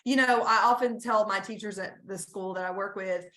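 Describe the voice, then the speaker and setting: A woman's voice: mid-range voice, steady tone, fairly quick, fairly bright tone. Earnest woman on an echoey video call. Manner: earnest, encouraging, with frequent restarts. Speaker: school staff presenter. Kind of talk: conference talk on a video call.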